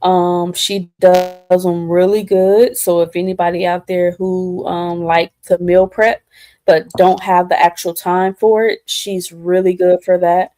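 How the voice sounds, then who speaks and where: Slow, mellow-voiced American woman. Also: lowish voice, little pitch movement. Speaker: co-host. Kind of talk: casual chat, interviewing.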